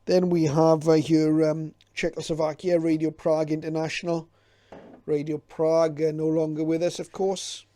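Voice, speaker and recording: A man's voice: fairly high pitch, flat delivery, normal speed, mellow, no room sound. A middle-aged man presenting in a casual, unscripted way, with a hesitant, reflective and informative manner, as a hobbyist leafing through material.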